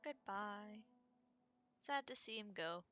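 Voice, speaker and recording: Bright-voiced woman streamer. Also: mid-range voice, animated, slow pace, muffled recording. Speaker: game streamer. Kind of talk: casual reactions while playing. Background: game music.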